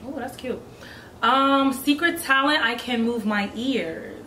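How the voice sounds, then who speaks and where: A young woman from Brooklyn, a guest chatting in an animated, joking way. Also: on the high side, slow pace, slight background noise. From Brooklyn, New York. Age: young adult. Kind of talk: casual chat. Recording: echoey room, distant mic.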